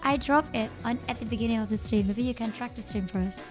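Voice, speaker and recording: A woman's voice: a little high, fast. A woman with an Indonesian accent commentates a game live, chatting playfully and informally with viewers and often repeating her phrases. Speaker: esports livestream caster. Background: music.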